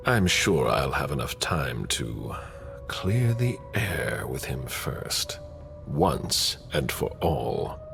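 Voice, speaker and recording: Casting: a cold, loyal vampire enforcer for a game; rock-bottom pitch, heavy fry, grave delivery. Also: male voice, sing-song, music in the background, exaggerated voice. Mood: stern, protective. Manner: grave, measured, commanding. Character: stern male vampire enforcer, protective, coldly menacing, loyal. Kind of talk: dramatic video game acting.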